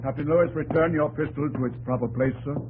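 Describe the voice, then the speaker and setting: Actor as grave, resolute president, noisy old radio. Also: male voice, on the high side, a little mellow, close to an everyday voice. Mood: commanding. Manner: deliberate, emphatic, formal. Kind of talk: 1950s radio drama acting.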